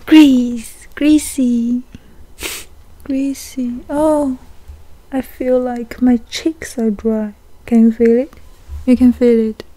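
A woman's voice: on the high side, level tone, slow, mellow. A woman co-hosting a podcast in casual, flirty ASMR chat, playful and teasing, close to the microphone. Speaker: podcast co-host.

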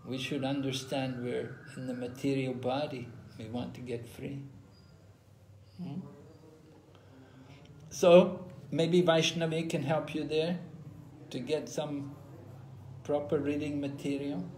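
An older man giving an unhurried online scripture class over a video call from a room at home, explaining with pauses and restarts. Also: mid-range voice, steady tone, darkish tone, slight background noise. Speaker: spiritual teacher. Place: room at home with ceiling fan. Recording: echoey room.

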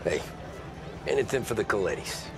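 An actor playing an easygoing, modest young mob driver with casual, understated talk in a crime game. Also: male voice, fairly low pitch, expressive, moderate pace, background noise. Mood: modest, relaxed. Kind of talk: cinematic crime game acting. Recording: music behind the voice.

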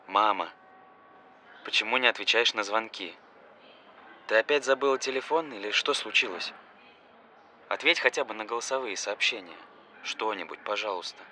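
An anxious grown son leaves intimate voice messages for his mother in an audio drama. His voice is very bright and tense, insistent and pleading, over faint background ambience. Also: on the low side, moderate pace, pauses often, a little hiss or hum. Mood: worried, insistent. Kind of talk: scripted audio film acting, voice-message monologue.

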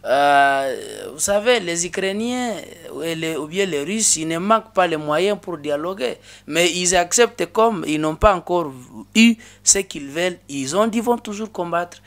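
A man's voice: sits high, animated, a little slow, hardly any pauses, fairly bright tone. A man in his thirties with a Congolese French accent, an interview guest in a small studio, speaking earnestly at a desk microphone with many restarts. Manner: earnest, with frequent restarts and hesitations. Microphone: desk microphone on a boom arm.

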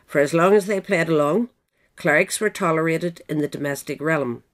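A woman's voice: deep voice, moderate pace. A woman reading scripted nonfiction narration as an audiobook reader. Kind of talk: scripted narration of a political history essay.

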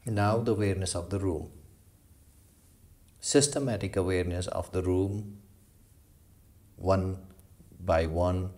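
A man leading a guided meditation as a yoga instructor, giving slow, measured instructions with long pauses. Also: low voice, steady tone, warm tone.

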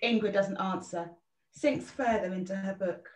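A woman's voice: medium pitch, moderate pace. A woman narrator reading out the scripted stage directions of a play. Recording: echoey, distant from the mic.

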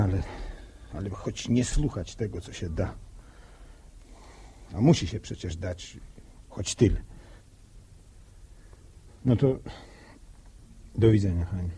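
A young countryman in an old radio play, proud and uneasy. His husky voice swings up and down, and his rustic phrases come haltingly. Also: on the low side, animated, warm tone, full character voice. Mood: resigned, gentle. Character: proud, uneasy young village farmer, bitter about gossip. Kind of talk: 1960s radio drama acting. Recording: old 1960 radio broadcast recording.

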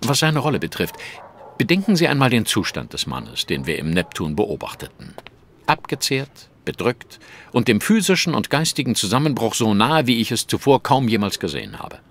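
An actor playing a shrewd, brooding master detective in a German audio drama, speaking in a measured, articulate, formal way. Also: male voice, medium pitch, big pitch swings, fairly bright tone, slight background noise. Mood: grave, pondering.

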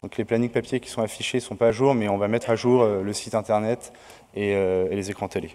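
A man's voice: fairly low pitch, fairly even intonation, a little fast, mellow. Hesitant man announcing in a big hall. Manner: hesitant, many fillers. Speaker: conference organizer. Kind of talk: opening announcements. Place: large lecture hall. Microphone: podium mic. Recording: reverberant hall.

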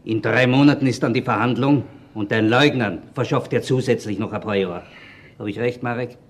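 A man playing a sardonic police detective in a radio drama. His husky voice has an Austrian accent, and he needles and presses whoever he questions. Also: mid-range voice, a little fast, lightly acted. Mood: pressuring. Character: sarcastic, pushy male police detective inspector. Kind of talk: radio play acting.

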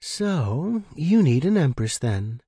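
A man's voice: fairly high pitch, very animated, takes it slowly, warm tone, slightly rough, no echo, studio quality, pitched above the performer's own voice, full character voice. A man voicing a teasing, playful female space freighter captain in a story. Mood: flirty teasing.

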